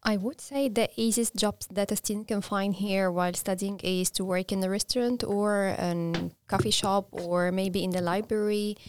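A woman joins a podcast as a guest, talking through her views in a measured, cheerful manner. Her voice sits in a comfortable middle range. The sound is dry and close to the mic. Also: mid-range voice, clear, resonant tone, no echo. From Egypt. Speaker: guest, master's student. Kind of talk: casual interview chat.

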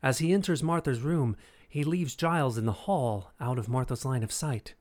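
A man's voice: medium pitch, moderate pace, a little mellow, lightly acted. A solemn male storyteller played by an actor narrates a historical audio drama. His voice sits in a middle register and keeps to scripted narration.

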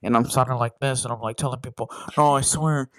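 A young man hosts a loose podcast chat, cursing casually and cracking jokes between laughs. His voice sits at an ordinary middle pitch. It is recorded dry and close, with no room sound. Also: fairly even intonation, fast, a bit bright, no room sound. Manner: profane, joking, laughs often. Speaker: podcast host.

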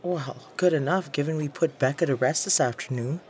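A woman's voice: low voice, brisk, a little mellow, a little hiss or hum, natural voice. A woman playing a guarded, prickly, grieving young woman in a naturalistic audio drama, curt and deflecting with her old friends. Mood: cold, guarded.

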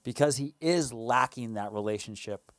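Halting mid-pitched man talking casually. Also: dry recording, studio quality. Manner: halting, restarts phrases, playful. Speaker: podcast co-host. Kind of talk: casual faith discussion.

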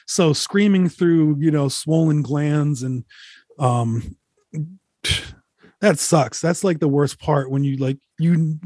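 A man in his forties, a touring musician, telling candid road stories in a relaxed, rambling way, swearing freely. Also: fairly high pitch, slow, dry recording, studio quality. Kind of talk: casual interview, candid storytelling.

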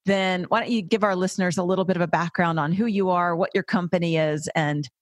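A woman's voice: on the low side, steady tone, quick pace, no room sound, clean studio sound. A woman hosting a podcast interview in an upbeat, effusive, chatty way. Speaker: podcast host. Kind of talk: casual interview chat.

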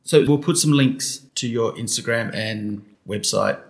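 A man hosting a podcast, asking questions in a friendly, relaxed way. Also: mid-range voice, unhurried, vocal fry. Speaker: podcast host. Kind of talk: podcast interview. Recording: faint guitar music behind.

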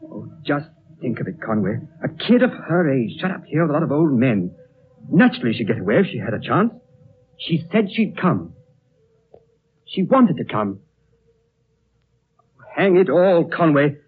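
A man's voice: on the high side, big pitch swings, normal speed, pauses often. An actor playing an impatient, headstrong young man in a 1930s radio drama, speaking with urgent, clipped defiance. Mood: defiant, agitated. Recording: tinny, noisy 1930s radio recording.

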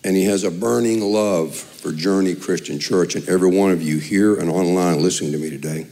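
A man with a raspy voice, speaking earnestly and from the heart as elder chairman addressing a church congregation. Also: deep voice, a little fast, mellow. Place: church sanctuary.

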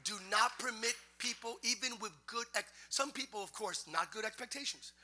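A man preaching a sermon to a congregation, moving from quiet teaching to loud, half-sung exhortation. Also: high voice, normal speed. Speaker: pastor. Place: church sanctuary.

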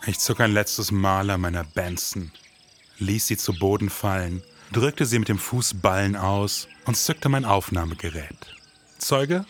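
An actor playing a laid-back, idealistic detective in a scripted noir audio drama, with casual, colloquial speech. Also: male voice, fairly low pitch, unhurried, a little hiss or hum, close to an everyday voice. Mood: noir, deadpan.

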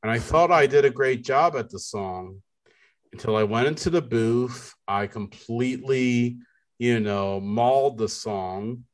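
Man, deep baritone voice, unhurried, rambling podcast chat, lots of ums. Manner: slow, rambling, many fillers and restarts. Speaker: podcast co-host. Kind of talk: casual chat.

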